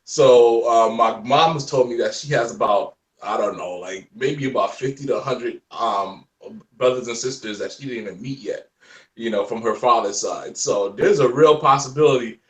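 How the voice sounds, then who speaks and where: A man in his forties from Haiti with a light Haitian accent, chatting as a guest in a relaxed, rambling way. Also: medium pitch, normal speed, reverberant, far from the mic, poor audio. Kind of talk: casual chat.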